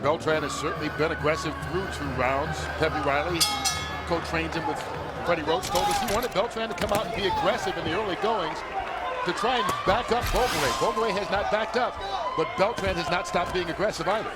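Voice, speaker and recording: A man's voice: high voice, brisk. A man calling a boxing match play by play, giving fighter backgrounds between exchanges. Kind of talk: live sports commentary. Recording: broadcast over loud crowd and noise.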